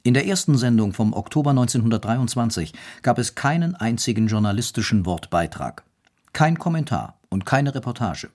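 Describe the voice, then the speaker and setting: A man's voice: mid-range voice, lively intonation, normal speed, a little mellow. A man reading scripted narration for a radio documentary as its narrator.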